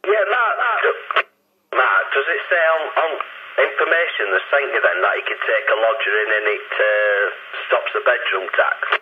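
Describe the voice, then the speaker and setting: A man's voice: medium pitch, lively intonation, normal speed. A man chatting casually over a CB radio in a matey, questioning way. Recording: tinny CB radio, faint noise.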